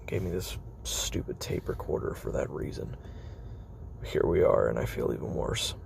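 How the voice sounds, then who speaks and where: A man performs a haunted, weary assassin confiding his memoir in an audio drama. His voice is extremely low and thick with gravelly fry, often dropping near a whisper. He speaks haltingly and broods between phrases, over clearly audible noise. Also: animated, darkish tone, heavily acted. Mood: weary, resigned. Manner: halting, brooding, with trailing pauses. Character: haunted, weary male former child assassin recording tape memoir. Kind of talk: confessional dramatic monologue in audio roleplay. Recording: noisy homemade recording with background ambience.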